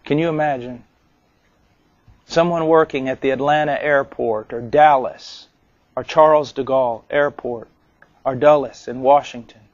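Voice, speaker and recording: A man preaching a sermon in an earnest, deliberate way, with rhetorical questions, on a lo-fi recording. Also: mid-range voice, steady tone, no room sound.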